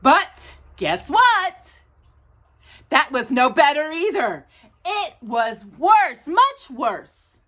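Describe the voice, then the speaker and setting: A woman reading a children's storybook aloud as a storytime host, in an expressive, drawn-out, playful manner. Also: high voice. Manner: animated, drawn-out delivery with playful asides to a child.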